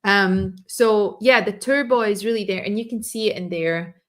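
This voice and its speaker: A woman hosting a live trading stream, losing her voice and clearing her throat as she explains in a loose, restarting way. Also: medium pitch, moderate pace, a bit bright.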